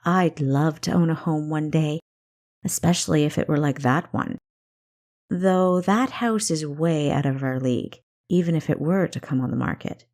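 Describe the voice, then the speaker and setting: A reserved elder sister who serves as a city guard in a fantasy audiobook, voiced by a woman narrator. She is practical and matter-of-fact, with a slightly low woman's voice. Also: moderate pace, a little mellow. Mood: pleased, measured.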